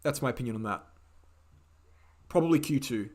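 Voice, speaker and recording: A man hosting a live question-and-answer stream, speaking in a casual, opinionated way, repeating key phrases for emphasis, his voice slightly croaky. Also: mid-range voice, expressive, brisk, darkish tone. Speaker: host answering viewer questions. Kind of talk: casual livestream Q&A.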